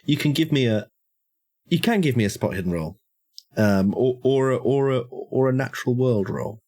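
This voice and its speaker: A man's voice: mid-range voice, lively intonation, moderate pace, dark tone, a little hiss or hum. A man narrating a tabletop horror game as game master, hesitant with many restarts.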